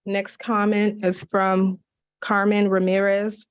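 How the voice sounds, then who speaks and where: A woman at a county commission meeting, reading public comment letters aloud in a steady, measured way with small slips and restarts. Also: medium pitch, clean tone.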